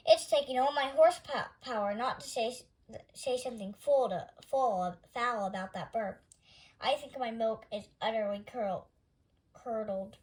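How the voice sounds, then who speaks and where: Woman voicing grumpy farm animal, disdainful, exclamatory, storybook, fairly high pitch. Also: measured pace, darkish tone, lightly acted. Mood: disgusted. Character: scornful, grumbling farm animals idolising their old rooster.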